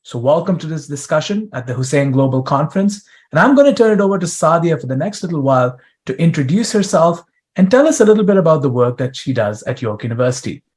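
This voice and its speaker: A man's voice: on the high side, animated, fast, darkish tone. A young man from East Africa hosting a conference interview, talking in a conversational, joking way. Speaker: host, interviewer.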